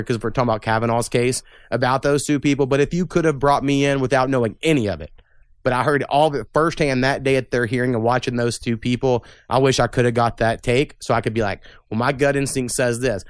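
A man's voice: medium pitch, clean tone, no echo, studio quality. A young man hosting a casual podcast, talking bluntly and opinionatedly and swearing freely. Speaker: podcast host. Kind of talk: casual political chat.

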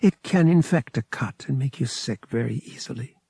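A man voicing a guarded, soft-spoken young woman, a devout pilgrim worker, polite and reticent, in an audiobook. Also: medium pitch, expressive, normal speed, creaky, breathy edge, no echo, clean studio sound, full character voice. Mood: calm, dismissive.

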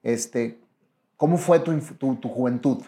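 A man's voice: mid-range voice, unhurried, mellow. A man with a Mexican accent hosting a friendly conversational interview in an earnest, motivational way. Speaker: interviewer, show host.

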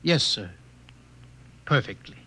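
An actor playing a bitter, educated genetic outcast in a classic radio drama, articulate and sardonic. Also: male voice, slow pace, very noisy, lightly acted. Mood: mock obedient. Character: bitter, defiant, educated outcast specimen with twisted leg.

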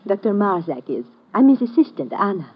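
A polite, earnest female museum assistant in an old radio play. Her medium-pitched voice is slightly rough and formal, on a dull, narrow vintage recording. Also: big pitch swings, fairly quick. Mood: courteous, earnest. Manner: courteous, formal, careful diction. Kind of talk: old-time radio drama acting.

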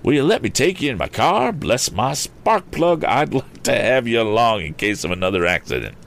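A man voicing an excitable, blustery gentleman adventurer in an audiobook novel, full of exclamations and quirky mild oaths. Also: medium pitch, moderate pace, vocal fry, pitched above the performer's own voice. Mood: friendly. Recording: faint background noise.

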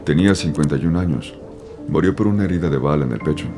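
Male forensic examiner, crime podcast drama; rumbling bass, quick, factual delivery. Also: music in the background. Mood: clinical. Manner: clinical, factual reporting. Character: clinical, matter-of-fact male forensic examiner. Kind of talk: scripted audio drama dialogue.

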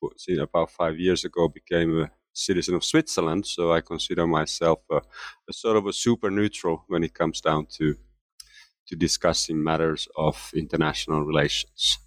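A man's voice: a bass voice, steady tone, moderate pace, dry recording. A man in his fifties from Finland, a history professor answering interview questions with many fillers and restarts. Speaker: guest author, history professor.

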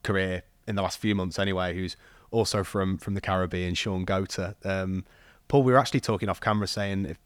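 A man with a low voice hosts a podcast interview, setting up questions in a conversational style. The recording is very dry, with no room sound. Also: steady tone, fairly quick, hardly any pauses, smooth, dry recording. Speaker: podcast host.

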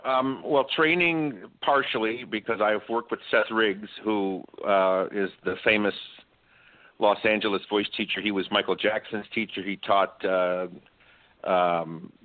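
A man's voice: fairly low pitch, dry recording. A man who sings opera, a guest in a remote interview, explaining at length with frequent ums. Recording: dry tinny call line.

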